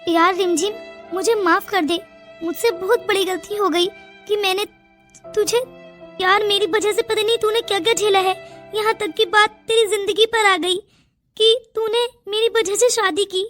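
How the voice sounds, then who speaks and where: A woman portrays a guilt-ridden young friend in a melodramatic cartoon tale. Her high voice is earnest and full of apology. Quiet music plays behind. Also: steady tone, moderate pace. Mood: remorseful. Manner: remorseful, earnest. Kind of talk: melodramatic cartoon story acting.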